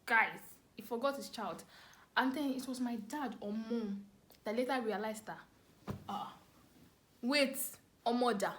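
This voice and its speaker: A young woman with a Nigerian accent telling a personal story casually and expressively to camera, repeating phrases for emphasis. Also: on the high side. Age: young adult. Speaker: vlogger, storyteller.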